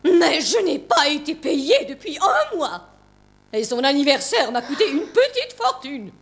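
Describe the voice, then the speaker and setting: A woman's voice: high voice, very animated, a little slow, exaggerated voice. A woman playing a cold, bossy, stern schoolmistress in a French cartoon dub. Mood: alarmed, indignant. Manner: stern, commanding. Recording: music playing behind the voice.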